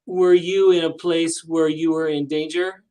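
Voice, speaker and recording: A man's voice: fairly high pitch, little pitch movement, unhurried, dark tone. A man hosting a radio talk show, asking his guest questions in an easygoing way. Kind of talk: interviewing a guest.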